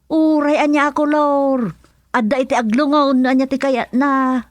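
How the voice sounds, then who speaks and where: Woman acting as chatty, high-voiced Ilocano village schemer. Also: steady tone, normal speed, dry recording, close to an everyday voice. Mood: agreeable. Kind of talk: Ilocano comedy radio drama acting.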